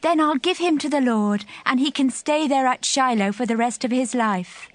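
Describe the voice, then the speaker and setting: A woman's voice: sits high, normal speed, dry recording, close to an everyday voice. An actress playing a devout, grateful biblical mother, speaking earnestly in a dramatized scripture reading. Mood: devoted, resolute. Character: devout grateful mother praying, Hannah of the Bible. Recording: voice over prominent music.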